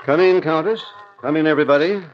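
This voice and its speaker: An actor playing an aging doctor in an old-time radio mystery, grave, dramatic and deliberate in his delivery. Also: a little high, natural voice. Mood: grave, commanding. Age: older. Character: aging doctor, grave, takes charge, unsettled by horror. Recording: muffled, noisy 1970s radio drama recording.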